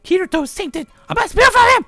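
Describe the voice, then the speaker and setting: Guy doing a crazy possessive anime schoolgirl, way high, dramatic, swoopy. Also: expressive, quick pace, sharp tone, dry recording, soft background music, exaggerated voice. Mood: possessive, unhinged. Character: obsessive, deranged yandere schoolgirl, possessive and jealous.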